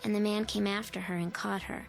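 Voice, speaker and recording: A woman plays an eerie little girl in an old-time radio drama. She is calm, knowing and insistent, with a mid-pitched voice and short measured phrases over clear background music. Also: natural voice, rough recording. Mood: haunting, insistent.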